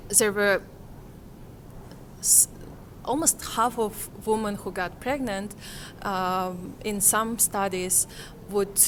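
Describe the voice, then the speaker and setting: Woman giving interview answers, slow n hesitant, searching for words, bit of background noise. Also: medium pitch. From Kazakhstan, Central Asia. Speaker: interviewee, conference participant.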